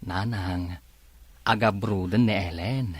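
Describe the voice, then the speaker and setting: Casting: man to play devoted young son and fiance, Ilocano accent, lowish pitch, dry studio sound, radio drama. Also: slow, husky, no room sound, heavily acted. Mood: patient, defensive.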